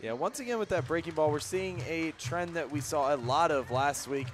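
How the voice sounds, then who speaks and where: A man's voice: a little high, expressive, normal speed. A man giving conversational, explanatory color commentary on a live baseball radio broadcast. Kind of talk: live sports analysis.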